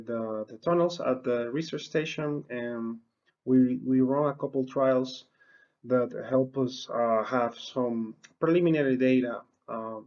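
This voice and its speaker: Halting man. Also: mid-range voice, a little mellow, lo-fi sound. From Dominican Republic. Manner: lots of uh and um, frequent restarts. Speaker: university horticulture researcher. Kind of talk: extension webinar presentation.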